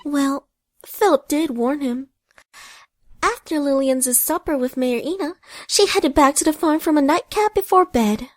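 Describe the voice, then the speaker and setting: A woman's voice: high-pitched, measured pace, no echo, clean studio sound. A woman playing an arch, cheeky storyteller who narrates a hobby radio play with wry asides. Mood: wry, storytelling. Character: cheeky female storyteller who mocks the characters.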